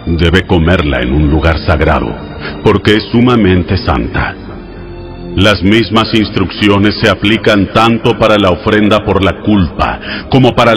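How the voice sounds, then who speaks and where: A man narrating an audio Bible in a solemn, measured, formal and instructive way over background music. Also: lowish voice. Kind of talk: solemn scripted scripture reading.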